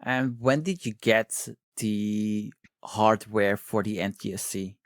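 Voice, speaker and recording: A man's voice: fairly low pitch, steady tone, takes it slowly, dry recording, studio quality. A man hosting a podcast, chatting casually and appreciatively with his guest. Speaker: podcast host. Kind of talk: casual interview chat.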